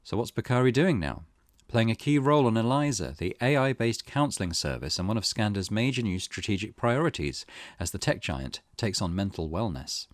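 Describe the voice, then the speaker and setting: A man's voice: on the low side, no room sound, studio quality. A man hosting a let's play, reading game text aloud and adding his own commentary.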